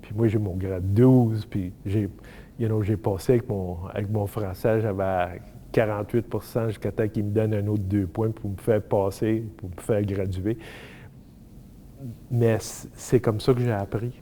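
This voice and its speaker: A man telling about his in a halting, self-mocking way, slipping into English words. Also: lowish voice, steady tone, dark tone, slight background noise. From Manitoba. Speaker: interviewee. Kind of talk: testimonial talk.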